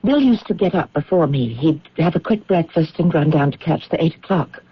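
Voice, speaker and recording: Anxious, husky-voiced widow. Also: female voice, low voice, animated, a little fast, full character voice. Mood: confiding. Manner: hesitant, earnest. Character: anxious British widow confessing a secret to officials. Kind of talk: radio drama acting. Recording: dull, muffled old radio broadcast.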